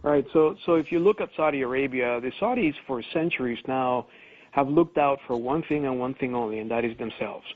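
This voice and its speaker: A man's voice: mid-range voice, brisk. A man, a criminology professor, giving confident, explanatory commentary as a radio guest. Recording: tinny phone line.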